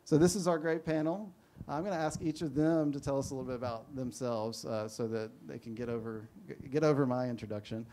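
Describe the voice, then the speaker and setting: A man's voice: medium pitch, fairly even intonation, fairly quick, few pauses, warm tone, slight background noise. A man moderating a panel, introducing the speakers in a rambling, self-deprecating way. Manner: rambling, self-deprecating, many fillers and restarts.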